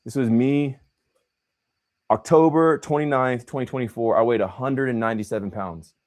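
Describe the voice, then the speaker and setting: A man's voice: mid-range voice, normal speed. A young man hosting a casual livestream, talking in a loose, slangy way and calling people bro and man. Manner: animated, slangy, says bro and man a lot. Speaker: host.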